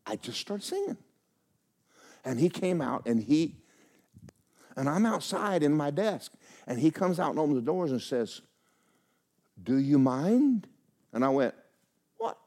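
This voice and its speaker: An older man preaching a sermon, telling personal stories in a folksy, animated way with many restarts and acted-out quotes. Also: sits high. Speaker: pastor.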